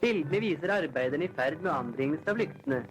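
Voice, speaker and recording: Higher-voiced man, formal vintage newsreel narrator. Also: animated, low-quality recording. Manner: formal, declamatory announcer delivery. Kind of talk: scripted 1940s newsreel narration. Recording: vintage 1940s film soundtrack, music behind. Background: newsreel background music.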